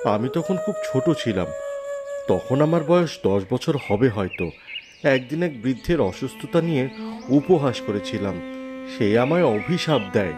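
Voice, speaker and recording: A man's voice: mid-range voice, expressive, measured pace, close to an everyday voice. A man playing a friendly talking lotus flower, a cursed human, speaking familiarly and earnestly in a cartoon fable. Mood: wistful. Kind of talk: Bengali cartoon fable voice acting. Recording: loud music under the voice. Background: music.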